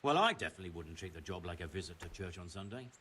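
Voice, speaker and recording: An actor playing a stern, middle-aged medieval mining chief in a video game, brusque and commanding, with formal wording. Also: male voice, deep voice, animated, racing, pushed and bright, slight background noise. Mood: businesslike, cautioning. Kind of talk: scripted video game dialogue. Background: music.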